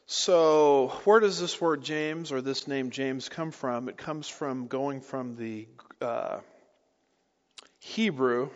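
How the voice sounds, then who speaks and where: Guy teaching scripture real slow, muffled sound, long echo like a big hall. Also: mid-range voice. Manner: slow, deliberate teaching with asides and rhetorical questions. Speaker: pastor. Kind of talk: expository Bible teaching. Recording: dull audio with long hall reverb.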